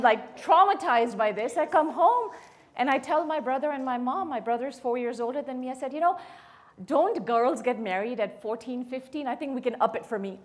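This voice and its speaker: A woman in her fifties, from Bangladesh, telling her life story to an audience as a guest speaker and asking them questions along the way. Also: sits high. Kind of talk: personal story told to an audience.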